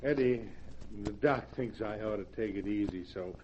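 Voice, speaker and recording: A man's voice: fairly low pitch, moderate pace, natural voice. A meek, pleading middle-aged husband, as an actor plays him in a dull, hissy 1940s radio recording. He sounds timid and eager to please.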